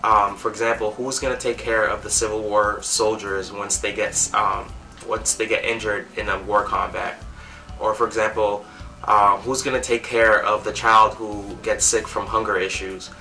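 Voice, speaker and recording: Young man, earnest, low pitch, mic at a distance, faint music behind. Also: little pitch movement, normal speed, pushed and bright. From Cameroon. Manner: earnest, many "um" fillers. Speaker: nonprofit founder. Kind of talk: speaking to camera.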